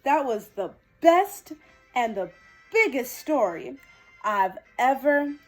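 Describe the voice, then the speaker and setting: A woman's voice: high-pitched, very animated, slow pace, faint music behind, heavily acted, poor audio. Woman reading as a proud, loving storybook mom. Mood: proud, emotional.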